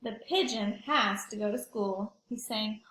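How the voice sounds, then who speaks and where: A woman's voice: medium pitch, measured pace, fairly bright tone, roomy sound, rough recording. A woman teacher reading aloud to young children, explaining simply and expressively and asking the listeners questions.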